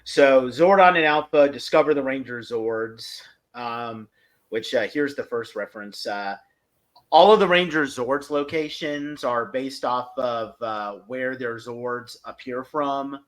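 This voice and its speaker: A man co-hosting a podcast, recapping and reviewing episodes casually in a halting, piecemeal way. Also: medium pitch. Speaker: podcast co-host.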